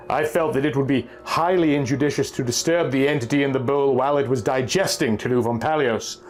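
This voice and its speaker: A man reading a first-person pulp horror story as a narrator, in a formal, measured, dramatic literary style. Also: mid-range voice. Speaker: audiobook narrator. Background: music.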